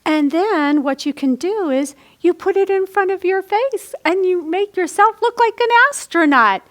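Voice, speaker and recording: A woman with a high voice hosts a storytime for young children. She speaks at an unhurried, slow pace and explains things step by step in simple words. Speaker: library storytime host. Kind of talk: children's storytime reading and craft instruction.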